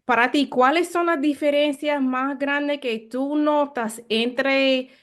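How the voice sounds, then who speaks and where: Slow-paced American woman interviewer. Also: sits high, fairly even intonation. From Chicago, United States. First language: English. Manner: halting second-language speech, searching for words. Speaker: interviewer, host. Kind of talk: casual conversational interview in learned Spanish.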